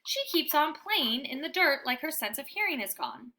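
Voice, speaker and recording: A woman narrates a children's book with dramatic, deliberate phrasing. Her voice is fairly high and bright, and it moves through a wide range of pitch as she tells the story. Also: big pitch swings. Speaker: read-aloud storyteller.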